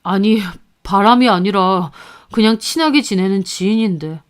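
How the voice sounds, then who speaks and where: A woman's voice: mid-range voice, moderate pace, clean tone, lightly acted. A woman voicing an evasive, cornered husband who mumbles defensively.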